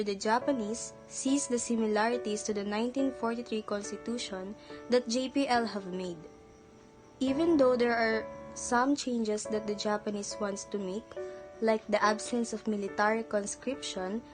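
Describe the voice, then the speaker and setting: A young woman, reading a class history report briskly. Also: a little high, steady tone, low-quality recording. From Philippines. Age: young adult. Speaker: student presenter. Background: background music.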